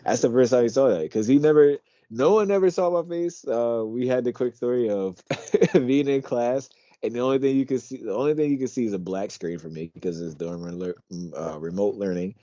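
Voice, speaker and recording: A young man from Pennsylvania co-hosting a podcast, talking in a deep, quiet voice through his teeth, relaxed and rambling. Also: fairly quick, no echo, muffled recording. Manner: relaxed, rambling, swears casually. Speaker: podcast co-host. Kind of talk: casual chat.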